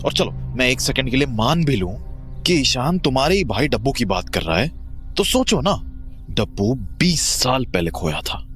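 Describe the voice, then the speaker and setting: A man's voice: medium pitch, sing-song, moderate pace, a bit bright, soft background music. A man playing a rational, dismissive husband in a horror audio drama, reasoning with mild exasperation. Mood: exasperated. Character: rational, dismissive husband calming his frightened wife.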